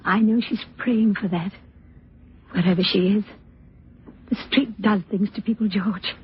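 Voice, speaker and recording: An actress playing a gentle, ailing middle-aged aunt in an old radio drama, soft-spoken and resigned. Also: female voice, medium pitch, steady tone, measured pace, slightly rough. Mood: gentle concern. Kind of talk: 1940s radio drama acting. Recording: muffled old radio recording.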